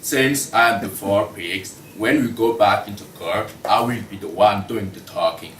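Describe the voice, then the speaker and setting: A man's voice: lowish voice, unhurried, a bit bright. A man playing a businesslike pig who presides over a jury in a student play reading. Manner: orderly, presiding. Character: businesslike pig jury foreman running the vote. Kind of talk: student table read of a comic play. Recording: echoey room, distant mic, faint noise.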